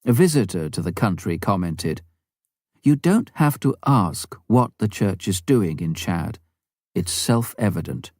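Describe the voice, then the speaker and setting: A man with a low voice narrates a memoir in a measured, formal way. The recording is close and dry, with very little room sound, as suits an audiobook reader. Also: very animated, darkish tone, no echo. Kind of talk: scripted narration, reading a memoir aloud.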